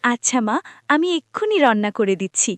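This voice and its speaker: A woman's voice: fairly high pitch, sing-song, normal speed, no room sound. A woman voicing a meek, sweet, hardworking girl in a cartoon, polite and pleading. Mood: obedient, polite. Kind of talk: cartoon voice acting.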